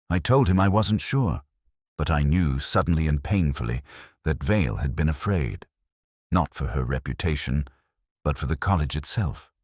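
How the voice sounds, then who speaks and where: Very deep-voiced man narrating a mystery. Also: dry recording, studio quality. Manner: measured, solemn, literary storytelling. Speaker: narrator of a detective story. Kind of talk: scripted first-person mystery narration.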